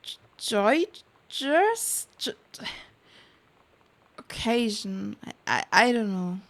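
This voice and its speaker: A woman doing casual livestream game commentary, reading on-screen text aloud in a halting, puzzled way, muttering guesses as she goes. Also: on the high side, big pitch swings, a bit bright. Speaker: game streamer.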